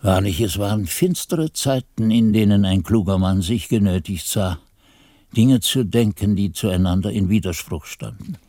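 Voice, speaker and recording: An actor playing an old, reflective monk who narrates his youth in a measured, formal literary radio play style. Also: fairly low pitch, warm tone, no echo, studio quality, natural voice. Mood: solemn, reflective. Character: aged monk chronicler recalling his youth, reflective, solemn.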